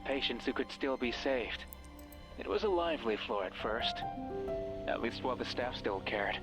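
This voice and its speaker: A man playing a mysterious, controlling horror game guide with a measured, ominous and coaxing delivery. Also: medium pitch, animated, over loud music, close to an everyday voice, poor audio. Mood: brooding. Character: mysterious controlling male radio voice guiding a listener, sinister. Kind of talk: horror visual novel voice acting.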